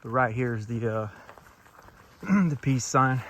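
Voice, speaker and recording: A man from Florida, vlogging casually while hiking, out of breath and a little hoarse, recorded on a handheld camera outdoors. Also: mid-range voice, lively intonation, takes it slowly. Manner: breathing hard, winded while hiking uphill. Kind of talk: casual vlog narration to camera. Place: outdoors on a forest hiking trail.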